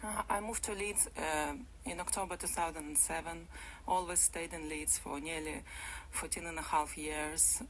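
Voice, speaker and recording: Woman, low voice. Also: steady tone, moderate pace, a bit bright, a little hiss or hum, rough recording. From Ukraine. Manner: simple, non-native phrasing, laughs lightly. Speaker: interview guest. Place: radio studio.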